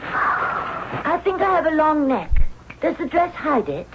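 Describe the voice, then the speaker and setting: A woman's voice: high-pitched, very animated, slow, heavily acted. An actress playing a spirited, girlish and playful young Egyptian princess in a radio drama. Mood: eager, searching. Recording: dull, noisy old radio broadcast.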